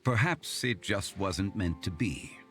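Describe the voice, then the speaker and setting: A dignified, courteous gentleman in a video game. The voice is low yet richly inflected, with calm, formal, measured phrasing. Faint music sits underneath. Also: breathy edge, exaggerated voice.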